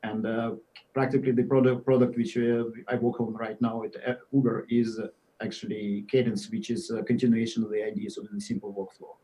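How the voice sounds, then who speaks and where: A man in his forties speaks with a Russian accent and a dark, mellow tone. He explains things haltingly, with plenty of uhs and restarts, and his voice sinks quieter as sentences trail off. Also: fairly low pitch, little pitch movement, rough recording. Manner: halting, many fillers and restarts, drifts quieter at phrase ends. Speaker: guest software engineer. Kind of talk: podcast interview answers.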